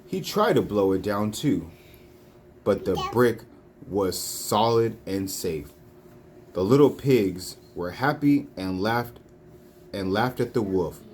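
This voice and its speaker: A woman reading children's storybooks aloud in a deliberate, phrase-by-phrase way. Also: very deep voice, darkish tone. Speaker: storybook reader. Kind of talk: children's read-along narration. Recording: faint background noise, home recording.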